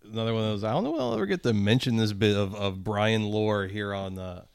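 A man's voice: lowish voice, animated, quick pace, gravelly, smooth. A man co-hosting a casual comedy podcast, chatting in a sardonic, irreverent and profane way. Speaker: podcast co-host.

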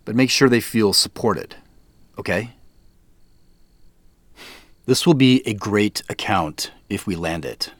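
A man's voice: low-pitched, dry recording. A man acting as a guy on a family quest in an audio drama, in natural, conversational, slightly hesitant dialogue. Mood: businesslike. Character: Joseph, adult man on a mysterious family quest.

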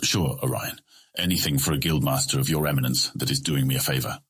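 An actor playing a shrewd, feared guildmaster of thieves in a fantasy audio drama, speaking with polite, diplomatic deference. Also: male voice, a bass voice, steady tone, fast talker, a bit bright, breathy edge, full character voice. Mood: oily deference.

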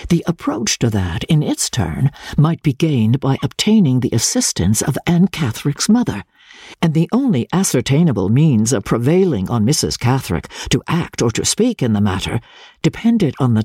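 Female narrator for literary audiobook: deep, mellow, husky tone, deliberate formal phrasing, dramatic delivery. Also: dry recording, clean studio sound. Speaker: audiobook narrator and radio reader. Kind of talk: dramatic scripted reading of classic literature.

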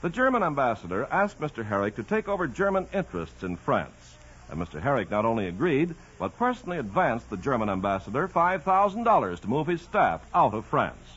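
A man's voice: medium pitch, sing-song, a little fast, a bit bright, breathy edge. A man reading scripted narration as an announcer on vintage radio. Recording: muffled old radio broadcast.

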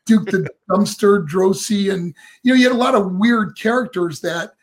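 A middle-aged man with a raspy voice, a guest and former wrestler rambling through stories with lots of fillers. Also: sits high, moderate pace. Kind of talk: casual interview storytelling.

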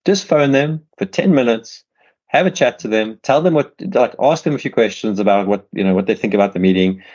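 Fast-talking South African man, lo-fi. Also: mid-range voice, animated, darkish tone. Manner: rambling, repeats words, fast restarts. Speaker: podcast host. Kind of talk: casual interview chat.

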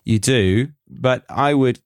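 A man's voice: mid-range voice, slow pace, clear, resonant tone, no room sound. A British man hosting a podcast, chatting in a laid-back way with dry wit. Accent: British English. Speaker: podcast host. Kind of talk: casual chat.

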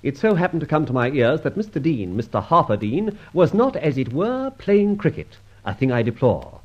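Suave gentleman adventurer, animated delivery. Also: on the high side, normal speed. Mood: suave, mocking. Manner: urbane, wordy, mock-formal. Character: suave gentleman adventurer, masked highwayman with flippant humour. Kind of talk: old-time radio adventure serial acting and narration. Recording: dull old radio transcription recording.

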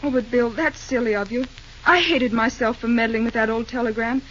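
An actress playing an earnest, modest, frank young railroad company woman in old-time radio drama, with halting, theatrical delivery on an old recording. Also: on the high side, highs cut off, slight background noise, natural voice. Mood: gently forgiving.

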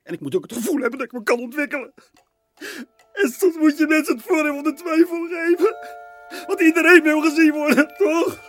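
A man's voice: very high-pitched, a little fast, fairly bright tone, faint music behind. An actor playing a self-important, needy male radio editor in a radio comedy, talking in an earnest, pedantic way. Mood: needy, pleading.

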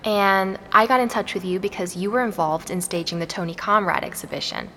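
An actress playing an interviewer who asks short, curious questions in an audio drama. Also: female voice, medium pitch, fairly quick, fairly bright tone, a little hiss or hum, lightly acted. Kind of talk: scripted audio drama dialogue.

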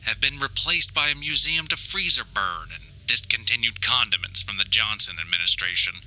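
A man's voice: medium pitch, expressive, normal speed, sharp tone, low-quality recording. A man playing a melodramatic grown son trapped in a house, reading his survival audio diary in grandiose, theatrical, formal phrasing. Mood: solemn. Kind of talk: scripted audio diary monologue, mock-survivalist narration.

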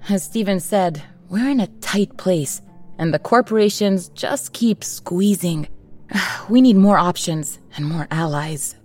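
An actress playing a businesslike woman organizer in an audio drama, measured and practical. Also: fairly low pitch, lively intonation, a little mellow, soft background music. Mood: composed, firm. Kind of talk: naturalistic audio drama acting.

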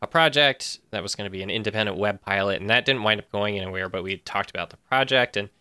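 Guy guest on a podcast, lowish crisp bright voice, wry and self-deprecating, chatting casually. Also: fast talker, no echo, studio quality. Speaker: podcast guest, show co-creator. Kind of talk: casual interview talk.

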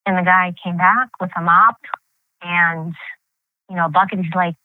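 A woman's voice: lowish voice, steady tone, moderate pace, no room sound. A woman from New in her thirties, telling her family story as an interview guest, candid and reflective with many fillers and restarts. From New York, United States. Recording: tinny phone-like line, dry.

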